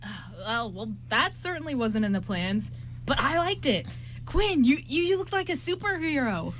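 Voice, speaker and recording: A woman's voice: high voice, sing-song, normal speed, a little hiss or hum. A woman playing an eager, friendly but awkward groom in an amateur radio drama, hesitant and full of ums. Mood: upbeat, awkward.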